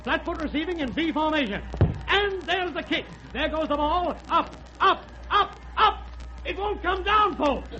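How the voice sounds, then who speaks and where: Excited, very high-pitched football announcer. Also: male voice, unhurried, full character voice. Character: excitable football radio announcer calling the game. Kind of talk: 1930s radio sports commentary parody. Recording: dull, noisy 1930s radio broadcast.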